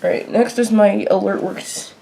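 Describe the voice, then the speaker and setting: A woman's voice: medium pitch, steady tone, normal speed, vocal fry, background noise. A woman giving a casual, unscripted show-and-tell in a plain, matter-of-fact way, recorded indoors at home. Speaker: video creator showing a radio collection.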